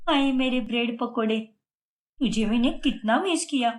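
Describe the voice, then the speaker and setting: A woman's voice: a little high, normal speed, natural voice. A woman voicing a whiny, food-loving young Indian daughter-in-law in a Hindi cartoon story, pleading and dramatic. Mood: gushing. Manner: pleading, dramatic, self-pitying.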